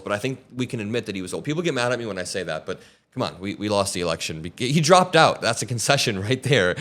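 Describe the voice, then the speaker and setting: A young man hosting political commentary, talking in an emphatic and sarcastic way, speeding up and slowing down. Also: fairly low pitch, a bit bright. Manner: emphatic, sarcastic, shifts between fast and slow.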